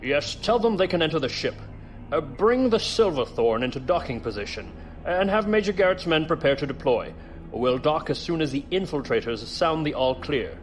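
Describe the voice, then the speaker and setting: An actor playing a silky, self-satisfied villainous colonel in an audio drama. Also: male voice, a little high, lively intonation, moderate pace, soft background music, natural voice. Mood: smugly pleased. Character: suave male villain colonel commanding raiders.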